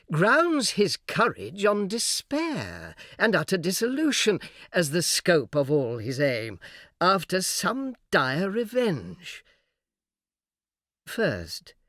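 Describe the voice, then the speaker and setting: A man playing a smooth, persuasive fallen angel who argues in measured rhetorical questions, in a dramatic reading of epic verse. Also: a little high, fairly bright tone, dry recording, clean studio sound, heavily acted. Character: smooth, persuasive fallen angel, graceful false-hearted orator.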